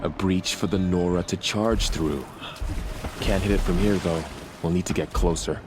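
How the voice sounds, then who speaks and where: An actor voicing a vengeful, eager young warrior in a video game cutscene, with a fervent, declaiming delivery. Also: male voice, low voice, steady tone, moderate pace, background noise, lightly acted.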